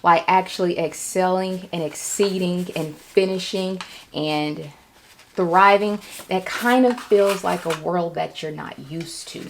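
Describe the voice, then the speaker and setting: A woman vlogging casually and reflectively, sounding nervous, with halting speech that circles back and repeats phrases for emphasis. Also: on the low side, creaky, slight background noise. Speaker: vlogger, writer and storyteller. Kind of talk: casual, reflective vlog talk.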